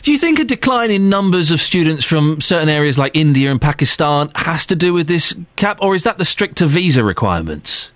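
A man's voice: fairly high pitch, sing-song, brisk, hardly any pauses, a bit bright, no echo. A man hosting a radio talk show, bantering and poking fun at himself as he interviews guests. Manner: self-mocking, bantering.

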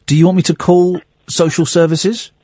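A man in his forties hosting a radio phone-in, chatting casually and teasing a young caller in a deadpan way. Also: high-pitched, normal speed, no echo. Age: 40s.

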